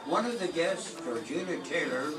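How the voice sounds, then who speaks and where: An older man announces and narrates in scripted vintage radio style. His voice is fairly high, heard from a distance in a reverberant space. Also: animated, moderate pace, over loud music. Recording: echoey distant mic, clear background noise.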